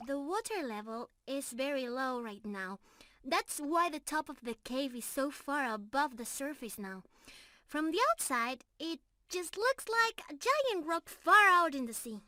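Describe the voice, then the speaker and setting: Girl doing a sweet shy mermaid gf asmr, slow and high pitched, flustered and affectionate, bit of background noise. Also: animated, sharp tone, no echo, studio quality, heavily acted. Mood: happy, excited. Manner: affectionate, flustered, girlish, with sighs and giggles. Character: sweet, bashful young mermaid, lovingly teasing and excitable. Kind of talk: intimate ASMR roleplay acting.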